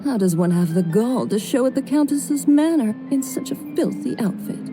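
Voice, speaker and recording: Snobbish Victorian lady guest, played by an actress, higher-pitched, disdainful delivery, game dialogue over music. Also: lively intonation, normal speed, lightly acted. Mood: scornful. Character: haughty Victorian society woman guest, sneering. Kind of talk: Victorian video game voice acting.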